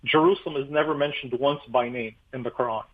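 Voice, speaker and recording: A man's voice: mid-range voice, fast talker. A man, a pastor whose first language is Arabic, explaining history emphatically as a guest in a radio interview, with many fillers. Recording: tinny phone line.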